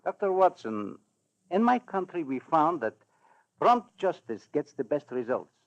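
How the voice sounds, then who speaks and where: An actor playing a stern, smugly courteous Balkan government official in 1940s radio drama, speaking formally and with emphasis. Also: male voice, a little high, big pitch swings. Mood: stern, insistent. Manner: formal, emphatic, hesitant pauses. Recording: dull old radio recording.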